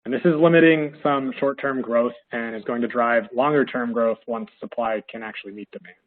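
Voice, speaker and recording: A man with a head cold presenting a business webinar as an analyst, speaking steadily with frequent fillers and a slightly hoarse voice. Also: mid-range voice. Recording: tinny, phone-like audio.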